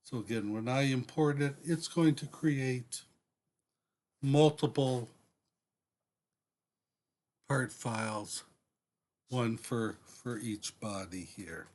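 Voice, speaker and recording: A man with an average-pitched voice talks through a software demonstration step by step. His pace is slow and deliberate. He speaks off the cuff, often halting partway through a sentence before carrying on. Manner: deliberate, halting, frequently pausing mid-sentence. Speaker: software tutorial presenter. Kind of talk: step-by-step screen demonstration, unscripted.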